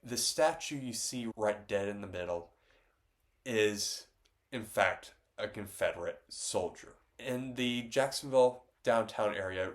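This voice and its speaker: A man's voice: lowish voice, a little slow. Congested man rambling about his painting. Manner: rambling, loose, many ums and restarts. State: stuffed up, congested. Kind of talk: casual reflective talk to camera.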